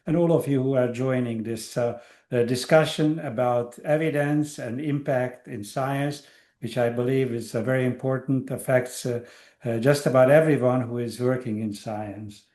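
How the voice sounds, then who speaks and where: An older man from Hungary speaks at a middle pitch, lecturing over a video call. He hesitates with frequent fillers and restarts as he works through his points. The sound is roomy, with a clear echo from the space around him. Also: fairly even intonation, moderate pace, a little mellow. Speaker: guest lecturer. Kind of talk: academic online lecture.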